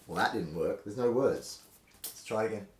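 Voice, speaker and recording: Male reader-host, theatrical and emphatic, highly animated pitch, dramatic pauses, echoey room. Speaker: host reading aloud from a historical treatise. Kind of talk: casual intro, then dramatic reading of an old fencing manual. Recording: roomy, echoing indoor sound.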